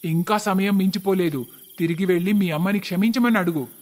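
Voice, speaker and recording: A man's voice: high-pitched, a little fast, a little mellow, close to an everyday voice. A man voicing a solemn magical child sent by God as a helper in a cartoon moral story. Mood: stern, scolding. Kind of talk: dramatic cartoon story dialogue. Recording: long hall reverb.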